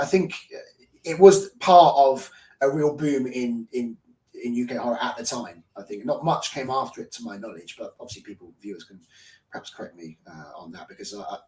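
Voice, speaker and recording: British male guest, mid-pitched, rambling delivery, roomy sound a bit off the mic. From United Kingdom. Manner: rambling, hesitant with many restarts and fillers. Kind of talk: casual film discussion. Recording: echoey room, distant from mic.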